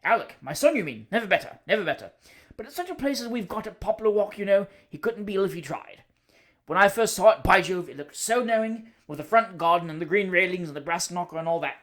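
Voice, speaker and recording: A reader voicing Mister Button, a loud, overfamiliar middle-aged man who booms and repeats himself in a storybook reading. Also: big pitch swings, quick pace. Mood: boisterous. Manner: booming and repetitive.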